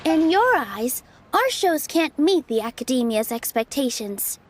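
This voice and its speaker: A gentle, earnest young female performer from a video game. Her voice is high and sincere, reasoning with care over faint background noise. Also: big pitch swings, measured pace. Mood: earnest, resolute.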